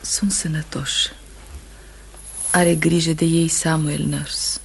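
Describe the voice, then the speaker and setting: A woman's voice: low voice, flat delivery, creaky, slightly rough, full character voice. An actress playing a sorrowful, steadfast wife of a condemned man, solemn and intense in radio theatre style. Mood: grief, restrained. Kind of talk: Romanian radio theatre acting.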